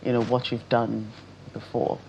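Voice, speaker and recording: A woman's voice: a bass voice, monotone, normal speed, creaky, a little mellow. A woman, a TV interviewer asking casual questions in a hesitant, rephrasing way. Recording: dull sound, noticeable background noise.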